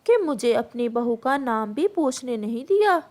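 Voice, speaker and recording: A woman's voice: fairly high pitch, big pitch swings, unhurried, darkish tone. A woman voicing a bossy, practical middle-aged mother pigeon in a children's cartoon, scolding and matter-of-fact. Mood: fond fussing. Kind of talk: Hindi children's cartoon voice acting.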